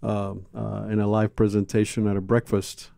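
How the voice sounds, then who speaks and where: A man's voice: on the low side, level tone, moderate pace, creaky, mellow, no echo. A man, talking casually and reflectively as an interview guest, close on a studio microphone. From Cuba. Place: small indoor studio.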